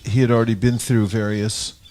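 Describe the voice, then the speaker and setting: A man with a fairly low voice takes part in a spiritual discussion as a teacher. He explains his observations informally, feeling his way through sentences in a reflective, halting manner. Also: flat delivery, a little mellow. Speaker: religious teacher in a group discussion.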